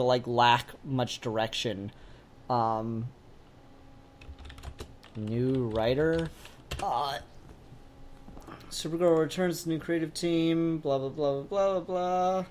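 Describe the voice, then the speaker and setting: A man co-hosting a casual discussion, animated and sweary, prone to acting out bits of dialogue. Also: fairly high pitch. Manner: animated, profane, acts out quoted dialogue. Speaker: podcast co-host discussing comics. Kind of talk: casual chat.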